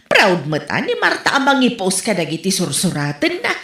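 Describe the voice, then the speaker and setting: A woman's voice: mid-range voice, huge pitch swings, moderate pace, a bit bright. A woman with an Ilocano Filipino accent playing a chiding, protective mother in a comedy audio drama. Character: chiding mother who defends a mocked vlogger. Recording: roomy, echoing home recording.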